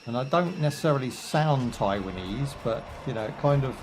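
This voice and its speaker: A man's voice: mid-range voice, animated, moderate pace, slight background noise. Chatty British man streaming a game. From United Kingdom. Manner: chatty, rambling asides with jokes and fillers. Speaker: gaming streamer. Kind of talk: casual live gameplay commentary.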